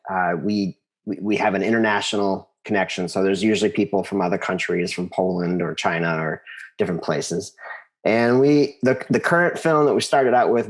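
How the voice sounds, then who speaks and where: A man being interviewed, telling his story conversationally and haltingly, repeating words as he thinks. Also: on the low side. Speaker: interview guest, composer.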